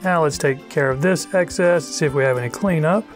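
Casual middle-aged male instructor, somewhat high voice, talks as he works, faint music underneath. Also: fairly quick. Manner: relaxed, chatty, thinking aloud. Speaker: fly tying instructor. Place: outdoors on a wide river.

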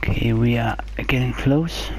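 Guy doing slow hesitant lets play talk, bit of background noise. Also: mid-range voice, low-quality recording. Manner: hesitant, halting. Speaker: gameplay video host. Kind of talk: casual gameplay commentary.

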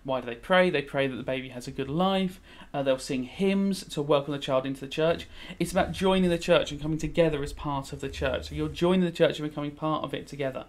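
Higher-pitched British man thinking aloud. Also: quick pace, few pauses. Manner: rambling, thinking aloud, switching between explaining and musing. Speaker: religious studies teacher. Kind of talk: casual revision commentary while playing a video game.

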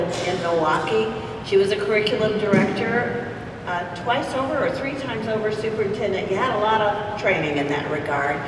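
An older woman giving a formal introduction from a stage, speaking in an unhurried, warm way. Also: medium pitch. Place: large hall on a stage. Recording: echoey hall, distant mic, background noise.

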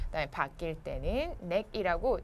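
Lively woman teaching English vocabulary. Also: on the low side, slow pace. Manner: lively, teasing, addresses viewers directly. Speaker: English lesson co-host. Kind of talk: bilingual TV vocabulary lesson. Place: TV studio, seated. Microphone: lapel mic.

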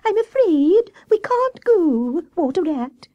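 A woman voicing a small, excitable, tender-hearted squirrel in a children's story. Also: very high-pitched, slow, highs cut off, voice pushed up, heavily acted. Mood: plaintive.

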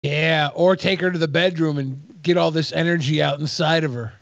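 A man's voice: fairly high pitch, steady tone, fairly quick, gravelly, dry recording, dull audio. A man giving casual reaction commentary as a podcast co-host.

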